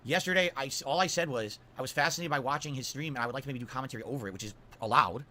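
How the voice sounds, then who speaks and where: An older man with a high-pitched voice, ranting in disbelief on a stream and firing off rhetorical questions. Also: sharp tone. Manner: incredulous, exasperated, rapid-fire rhetorical questions. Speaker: streamer being reacted to.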